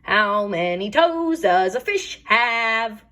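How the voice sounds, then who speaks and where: Lady doing a goofy loud boy penguin for a kids storybook, cheerful n hearty, pitch jumps all over. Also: big pitch swings, takes it slowly, full character voice. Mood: silly singing. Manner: blaring and hearty. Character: Tacky, odd, loud, cheerful, clumsy male penguin. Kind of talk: children's storybook read-aloud.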